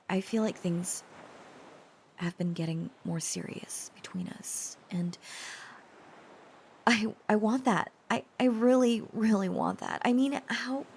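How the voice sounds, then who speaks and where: A woman playing a tender, protective young dragon-descended girlfriend in an intimate romantic audio roleplay, speaking gently and hesitantly in a breathy, often whispered voice. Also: medium pitch, slight background noise. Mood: tender, shy. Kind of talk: intimate roleplay audio drama, softly acted.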